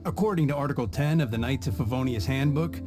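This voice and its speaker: A man's voice: medium pitch, fast, close to an everyday voice. A man voicing a cautious, rule-bound gate guard in a video game, formal and hesitant when unsure. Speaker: city guard. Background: soft game music.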